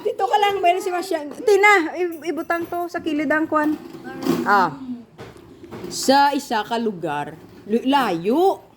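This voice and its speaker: A Filipino woman with a Visayan accent telling an improvised story to children, animated and often directing them, in a noisy recording. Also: high voice, unhurried.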